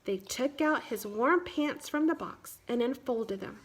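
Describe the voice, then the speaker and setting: A woman narrating a children's picture book aloud in measured, simple phrases. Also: a little high, animated, slightly rough. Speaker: children's read-aloud storyteller.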